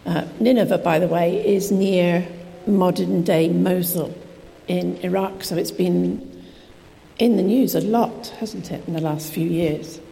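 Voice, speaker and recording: Croaky woman preaching in a hall. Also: fairly low pitch, measured pace, warm tone. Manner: chatty, self-deprecating asides. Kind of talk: informal sermon. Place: church hall. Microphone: PA microphone. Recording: reverberant hall with faint noise.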